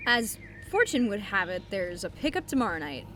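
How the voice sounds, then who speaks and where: A woman's voice: medium pitch, big pitch swings, normal speed, background noise, close to an everyday voice. A woman voicing a chatty, scatterbrained young man in a comic fan dub, with flowery, eager speech that wanders into hesitant tangents. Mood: nervous, rambling. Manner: verbose, theatrical, hesitant tangents.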